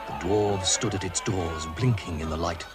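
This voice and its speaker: Male narrator, lowish voice, measured scripted storytelling, radio drama, prominent music.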